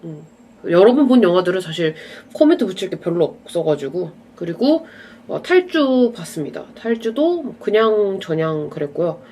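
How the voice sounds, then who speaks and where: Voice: mid-range voice, moderate pace, a little hiss or hum. A young adult vlogger with a slight cold and a stuffy nasal voice, chatting casually to camera about films and dramas. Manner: relaxed, chatty, drifting off into asides. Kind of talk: casual rambling chat to camera.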